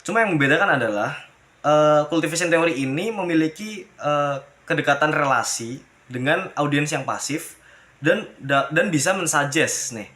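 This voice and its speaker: A man's voice: on the high side, animated, normal speed, a bit bright. A young man from Java, giving a casual class presentation, chatty, calling the viewers "guys" and mixing in English terms. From Pemalang, Central Java, Indonesia. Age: young adult. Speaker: university student presenter.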